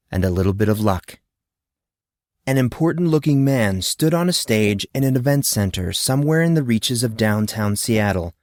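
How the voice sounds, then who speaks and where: A man's voice: medium pitch, a little mellow, no room sound. Man narrating a fantasy audiobook, dry sound. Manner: friendly, storytelling delivery.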